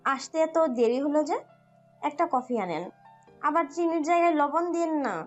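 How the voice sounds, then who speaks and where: A woman's voice: high-pitched, normal speed, faint music behind, lightly acted. A woman playing a haughty, scolding young office boss in an audio story drama, recorded with dull lo-fi sound.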